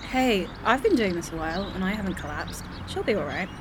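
A woman's voice: medium pitch, lively intonation, a little fast, background noise, close to an everyday voice. A woman playing a wry, guarded time traveller in a naturalistic, casual sci-fi audio drama style. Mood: defiant.

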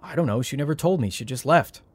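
Frazzled, sarcastic young heir. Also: male voice, mid-range voice, animated, darkish tone, breathy edge. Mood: defensive, confused. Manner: wry, flustered, halting. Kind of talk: audio drama acting, naturalistic.

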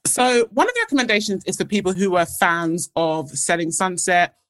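A woman's voice: lowish voice, lively intonation, moderate pace, a bit bright. A woman co-host giving recommendations in an enthusiastic, informal chat. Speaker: podcast co-host.

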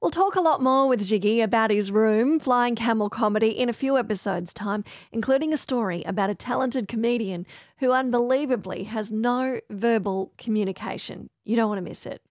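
Female host, forties, bright-ish high voice, dry studio sound, curious interviewer. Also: a little fast, no room sound. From Australia. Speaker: podcast host. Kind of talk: podcast interview and narration.

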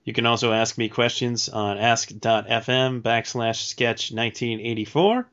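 A man co-hosting a podcast, speaking casually but in an orderly, clear delivery. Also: medium pitch, moderate pace, a bit bright. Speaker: podcast co-host. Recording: dull lo-fi voice track.

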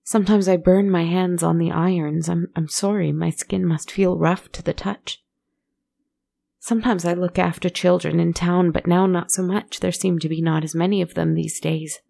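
A storyteller voicing a frightened young working girl, answering in a raised, stammering, anxious voice that stays gravelly. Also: mid-range voice, flat delivery, moderate pace, warm tone, voice pushed up.

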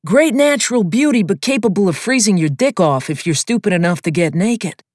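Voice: medium pitch, expressive, a little fast, darkish tone, no room sound, clean studio sound, voice pushed up, natural voice. A narrator voicing a brash, joking young male co-pilot in an audiobook. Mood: teasing. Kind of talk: audiobook character voice.

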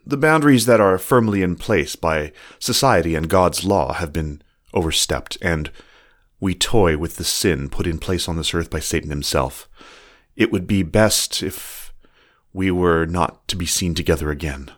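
A man reading as a deep-voiced lovesick young fox. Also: a little slow. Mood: stiff, formal. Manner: tender, earnest, formal. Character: lovesick young male fox writing letters. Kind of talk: scripted reading of letters.

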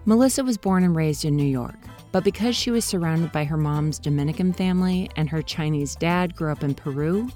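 A woman with a fairly low voice narrates her podcast in a calm, gentle way. The delivery is scripted and unhurried, with faint music beneath her words. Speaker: podcast host.

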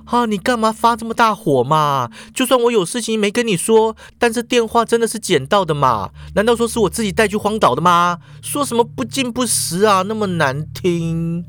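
A woman's voice: medium pitch, lively intonation, fairly bright tone, slight background noise, voice pushed up, close to an everyday voice. A man voicing a feisty, sulky young woman who whines and argues while caught in danger. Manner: whiny, pouting, quick to argue.

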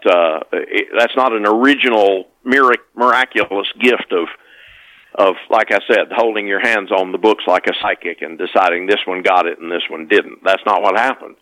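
Bright-voiced man explaining emphatically. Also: fairly low pitch, no room sound, poor audio. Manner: emphatic, repeats and rephrases his points. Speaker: podcast guest, author. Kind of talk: conversational explanation in an interview.

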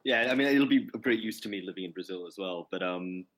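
A man's voice: sits low, quick pace, a little hiss or hum. A man co-hosting a podcast, tentatively hedging his points with "I guess" and "I mean". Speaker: podcast co-host. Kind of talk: casual chat.

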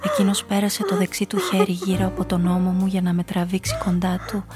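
A woman's voice: mid-range voice, level tone, over music, close to an everyday voice. An actress playing a frightened female blackmailer who tells her own story intimately in a scripted radio play. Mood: shaken, somber. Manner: intimate, confessional first-person storytelling.

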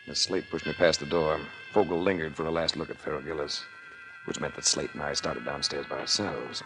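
An actor playing a cool, wry tough-guy investigator in a 1940s radio drama, with a gravelly voice and a faintly noisy vintage recording. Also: very deep voice, fairly even intonation, brisk, music in the background. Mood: urgent. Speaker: lead actor and narrator.

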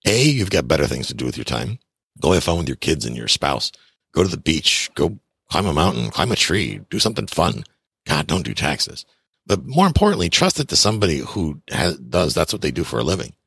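A man's voice: rumbling low, big pitch swings, a little fast, creaky, fairly bright tone, husky, no room sound. A man in his fifties hosting a podcast, giving blunt, chatty advice on real estate and taxes straight to his listeners. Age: 50s. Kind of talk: casual advice monologue.

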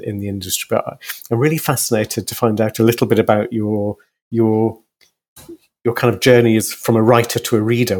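A man's voice: on the low side, a little mellow, husky. A man hosting a conversational podcast interview, framing his questions in a loose, hesitant way with repeated words.

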